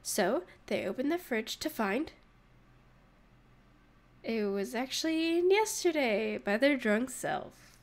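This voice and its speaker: A woman's voice: a little high, lively intonation, slow pace, pauses often. A woman playing a sarcastic narrator in a comedic audio roleplay, teasing and mock-sympathetic as she mocks a listener. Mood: smug teasing. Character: sarcastic, mocking narrator gleefully needling a hungover catgirl. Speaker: voice actress.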